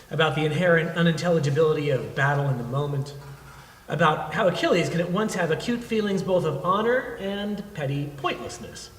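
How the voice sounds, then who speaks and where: A man, a veteran, reading his own scripted reflective story on stage with a measured, literary delivery. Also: on the high side, a bit bright. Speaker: navy veteran and teacher reading his memoir. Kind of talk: scripted personal narrative read on stage. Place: theatre stage at an arts centre. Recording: echoey hall, distant from mic.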